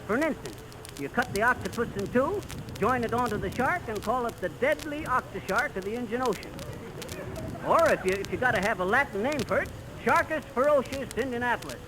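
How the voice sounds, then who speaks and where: Folksy, swooping old-radio American braggart. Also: male voice, very high voice, expressive, fairly quick. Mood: breezy, boastful. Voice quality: folksy, colloquial diction. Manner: bragging, theatrical storytelling. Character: boastful, folksy male teller of tall fishing tales. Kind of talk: 1930s radio comedy acting. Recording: noisy vintage 1930s radio recording.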